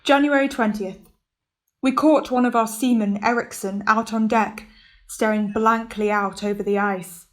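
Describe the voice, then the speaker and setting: A woman voicing a measured, firm ship's captain in a radio play, narrating log entries and giving orders. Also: on the high side, steady tone, close to an everyday voice. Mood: sober. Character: steady male ship's captain keeping a log. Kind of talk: amateur radio drama acting.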